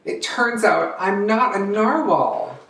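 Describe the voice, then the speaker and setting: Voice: mid-range voice, sing-song, takes it slowly, creaky, fairly bright tone, recorded from a distance, slight background noise, pitched above the performer's own voice, full character voice. A drag queen storyteller voicing a small, earnest, anxious young male narwhal from a picture book. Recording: roomy, echoey, away from the mic.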